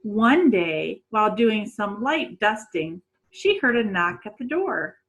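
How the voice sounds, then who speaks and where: Middle aged teacher lady doing kids storytime, slow pace, really up and down expressive voice. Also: mid-range voice, rough recording. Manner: expressive, addresses young listeners with questions. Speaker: teacher reading to children. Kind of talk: read-aloud storytime for children. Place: small room at home.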